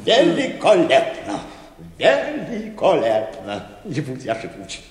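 A man's voice: medium pitch, huge pitch swings, takes it slowly. An actor playing a vain, self-pleased bank chairman in a radio play, pompous and fussily familiar in a theatrical way. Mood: self-satisfied, grandiose. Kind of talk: theatrical Russian radio drama acting. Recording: echoey hall with long reverb.

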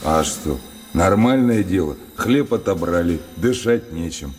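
An actor in a Russian radio play playing a sly, selfish soldier who is mocking and defiant. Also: male voice, deep voice, animated, a little slow, darkish tone, soft background music. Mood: surly, defiant.

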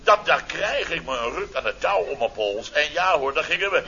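Blustering, bright-voiced sarcastic boss in radio comedy. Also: male voice, on the high side, big pitch swings, moderate pace, full character voice. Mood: exasperated, ironic. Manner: theatrical, exclamatory, sarcastic storytelling. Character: blustering, sarcastic middle-aged contractor boss telling his mishaps. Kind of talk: radio comedy serial acting. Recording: dull, noisy old radio recording.

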